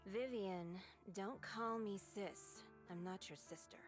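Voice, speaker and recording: A woman playing a cold, resigned young antagonist in a video game, measured and aloof. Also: mid-range voice, lightly acted. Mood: cold, dismissive. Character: cold, resigned young woman, loyal member of villainous faction. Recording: faint background music.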